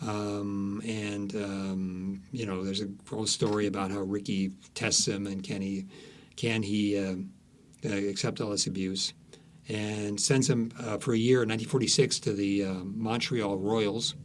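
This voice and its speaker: Low-pitched man, halting conversational storyteller. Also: level tone. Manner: halting, searching for words, emphatic repetition. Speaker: author, interview guest.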